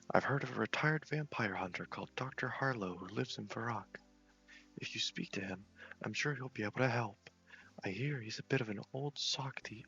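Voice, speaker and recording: A man voicing a distressed villager in a fantasy game, pleading earnestly and theatrically for an adventurer's help, in soft role-play. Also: lowish voice, gravelly, highs cut off. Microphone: headset microphone.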